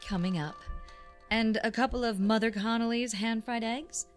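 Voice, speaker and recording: An actress playing a caring, gently teasing woman in a radio drama. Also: fairly high pitch, steady tone, normal speed, faint music behind, close to an everyday voice. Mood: tender, teasing.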